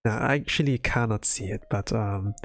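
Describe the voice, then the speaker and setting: A man from Europe, a non-native English speaker, chatting casually on a livestream in a hesitant, halting way with lots of fillers, sounding tired. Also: lowish voice, gravelly, fairly bright tone. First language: not English. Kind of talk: casual rambling livestream chat. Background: background music.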